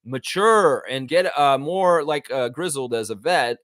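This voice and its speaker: A man's voice: a little high, lively intonation, measured pace, a bit bright, dry recording. Casual man hosting a dry-sounding live chat. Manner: informal, conversational, thinking aloud. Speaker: host of a live sports fan chat show. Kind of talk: casual live chat with viewers, reading comments aloud.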